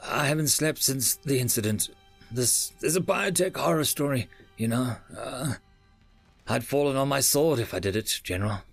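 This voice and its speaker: A man's voice: medium pitch, animated, normal speed, gravelly, faint music behind. A man voicing an anxious, exasperated male technician, earnest and pleading, in an audiobook. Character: anxious, exasperated male technician defending himself, chain-smoking. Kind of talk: audiobook character dialogue.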